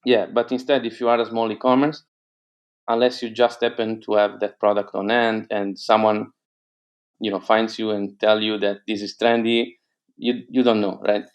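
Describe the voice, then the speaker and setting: A man's voice: lowish voice, flat delivery, moderate pace. A man whose first language is Italian, speaking with an Italian accent in a casual interview, hesitant with many fillers and restarts. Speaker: guest entrepreneur.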